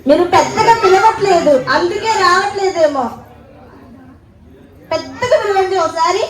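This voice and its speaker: Female kids' show host, high voice, coaxing and interactive, echoey room with crowd behind. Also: moderate pace. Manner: coaxing, repeats questions to the audience. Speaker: children's puppet show host. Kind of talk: playful interactive hosting for children. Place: live children's event in a hall. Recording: echoey hall with crowd and faint noise.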